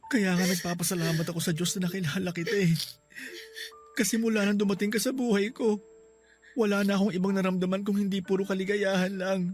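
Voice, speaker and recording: An actor playing an injured young man, a forgiving boyfriend in a radio drama who speaks tenderly and earnestly. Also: high-pitched. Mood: grateful, emotional. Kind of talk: classic radio-drama acting.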